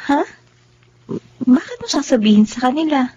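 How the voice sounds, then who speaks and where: Young girlfriend: coy and eager, high lively voice, radio soap style, slightly muffled. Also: normal speed. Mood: disappointed. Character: eager young girlfriend, coaxing her boyfriend. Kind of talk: Tagalog radio drama acting.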